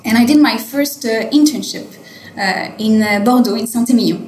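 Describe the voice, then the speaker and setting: A woman's voice: a little high, moderate pace, a little mellow, roomy sound, slight background noise, poor audio. A French woman in her late twenties, a winemaker being interviewed, speaking English with a French accent and hesitating often with fillers and restarts. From France. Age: late 20s. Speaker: winemaker guest. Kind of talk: interview answers. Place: hotel wine cellar.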